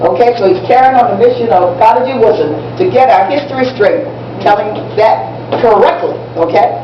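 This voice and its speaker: Actress as proud, instructive American schoolteacher for a community stage play; emphatic repetition, muffled sound from far off. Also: female voice, on the high side, a little fast, lightly acted. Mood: earnest, proud. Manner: didactic, repeats and spells out key words. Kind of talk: amateur stage play acting. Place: indoor room at a public library. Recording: distant, echoey, muffled, noisy room recording.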